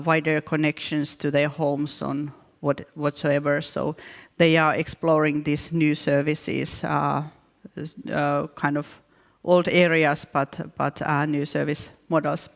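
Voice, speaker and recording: Finnish woman with a flat, gravelly voice. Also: low voice. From Finland. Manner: hesitant, with frequent restarts and fillers. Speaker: keynote speaker. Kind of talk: conference presentation. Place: conference hall. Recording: reverberant conference hall.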